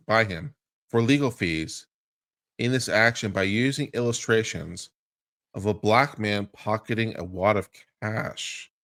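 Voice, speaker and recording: A man's voice: mid-range voice, fairly bright tone. A man giving a dramatic reading of a lawsuit, mixing formal reading with casual, profane, incredulous commentary. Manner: profane, incredulous asides between formal read passages. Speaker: legal commentator.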